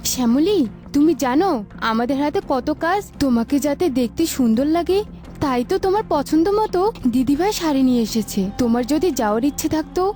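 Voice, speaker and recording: A woman acting an earnest, dutiful woman of a family household in an animated moral story, explaining herself. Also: high voice, a little fast. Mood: defensive. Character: dutiful Bengali sisters-in-law and mother, women of a household. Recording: music behind the voice.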